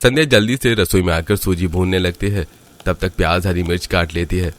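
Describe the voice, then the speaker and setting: A man's voice: deep voice, brisk, lightly acted. A man narrating a scripted cartoon story. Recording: music behind the voice. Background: background music.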